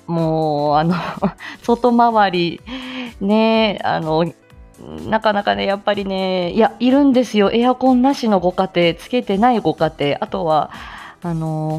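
A woman's voice: mid-range voice, a little slow, a little mellow, faint music behind. A woman hosting a casual live audio stream, chatting to listeners in a giggly, playful way. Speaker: speech-language therapist.

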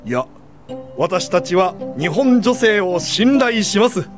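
A woman's voice: mid-range voice, very animated, slow pace, over music. An actress playing a kindly, frank American lady in a radio drama. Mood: frank, impressed. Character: kindly, frank American woman lender at Boston church.

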